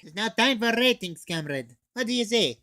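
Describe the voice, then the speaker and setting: Young man putting on a brash, bright soldier comrade. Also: high voice, animated, normal speed, voice pushed up. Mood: smug teasing. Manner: needling, punctuates talk with "comrade" and "duh". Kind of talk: scripted comic character banter in a video review.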